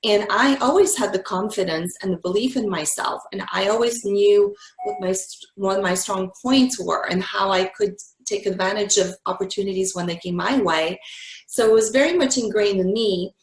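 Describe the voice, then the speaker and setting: A woman in her forties from Bulgaria, answering interview questions in a reflective way with many pauses. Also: mid-range voice, roomy sound, slight background noise, low-quality recording. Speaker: guest.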